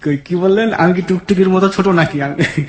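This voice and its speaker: A man's voice: high-pitched, normal speed. Young Bangladeshi man storytelling, echoey sound. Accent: colloquial Bangladeshi. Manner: retells dialogue in quoted turns. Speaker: guest telling his life story. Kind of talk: conversational personal storytelling. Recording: echoey, reverberant voice.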